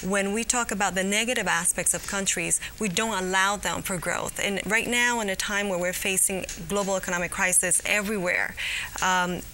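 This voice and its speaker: Earnest woman journalist, bright tone. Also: medium pitch, fairly even intonation, slight background noise, poor audio. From Guatemala, raised in New York. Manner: earnest, measured, with many um and uh fillers. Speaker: journalist guest. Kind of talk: TV interview. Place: TV studio set. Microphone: lapel mic.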